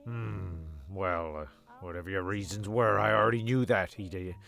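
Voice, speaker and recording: A man's voice: low voice, fairly even intonation, normal speed, creaky, a bit bright. A man playing a grumpy, rambling, foul-mouthed narrator of a comic audio serial, stumbling over his words. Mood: irritated. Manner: stumbling, cynical, sweary.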